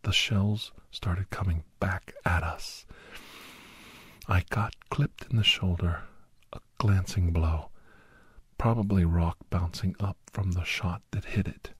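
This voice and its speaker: A man playing a grave, anxious doctor and old soldier telling a battle story in hushed, halting, breathy ASMR narration that often drops into whispers. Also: low voice, fairly even intonation, dry recording, heavily acted. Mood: tense, urgent. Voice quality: breathy, husky. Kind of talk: ASMR first-person dramatic story narration.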